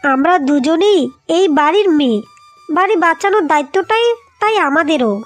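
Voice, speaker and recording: Woman voicing a very high-pitched feisty young wife. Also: expressive, normal speed, soft background music. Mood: earnest. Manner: firm, scolding. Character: feisty, proud young wife defending her family home. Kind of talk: cartoon dialogue.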